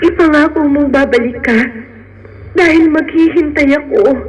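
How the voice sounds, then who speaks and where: A woman's voice: high-pitched, normal speed, a little hiss or hum, natural voice, low-quality recording. A woman playing an earnest, strong-willed young sweetheart in a radio drama, speaking with heartfelt feeling. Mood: tender, earnest. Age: young adult.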